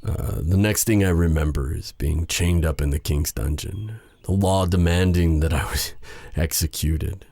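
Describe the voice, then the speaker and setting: Man acting a deep, husky dark sorcerer. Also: dry recording, full character voice. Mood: pained, bitter. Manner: halting, hesitant, sighing delivery. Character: brooding dark sorcerer, bitter exiled mage with a tender side. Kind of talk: intimate ASMR roleplay drama.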